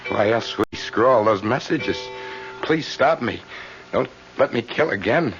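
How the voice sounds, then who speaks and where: An actor playing a tormented, self-pitying killer in an old-time radio mystery, repeating phrases insistently. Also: male voice, mid-range voice, lively intonation, unhurried, fairly bright tone, soft background music. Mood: menacing regret. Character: tormented serial killer lodger, self-pitying, bitter and unhinged. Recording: dull lo-fi radio recording with hiss.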